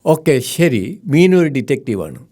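A woman's voice: low voice, big pitch swings, normal speed, dark tone. A woman voicing a patient, encouraging parent bee who explains things in a children's cartoon.

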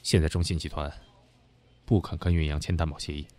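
A man's voice: a bass voice, level tone, fairly quick, a little mellow. A man acting an insinuating, worldly senior businessman in an audio drama, with a knowing, needling manner. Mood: knowing, confiding.

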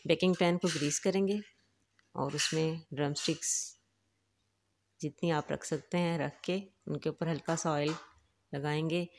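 A woman hosting a home cooking channel, giving calm, instructive step-by-step recipe narration. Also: low voice, a little slow, pauses often. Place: home kitchen.

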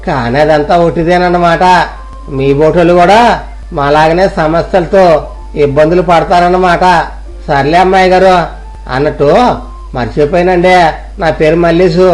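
A man's voice: a little high, steady tone, normal speed, pauses often, darkish tone, rough recording. Man voicing a boastful young villager. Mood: wondering. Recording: music playing behind.

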